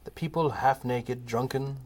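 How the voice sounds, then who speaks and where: A man narrating the stage directions of an amateur comedy radio play in a plain, steady reading voice. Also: medium pitch, a little slow, slight background noise.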